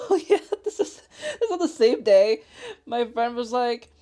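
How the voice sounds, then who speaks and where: A woman's voice: fairly high pitch, sing-song, unhurried, warm tone. A young woman with a Finnish accent, chatting casually in a bubbly, giggly, rambling way as she shows her sketchbook. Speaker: artist showing her sketchbook. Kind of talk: casual voice-over chat. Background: faint background music.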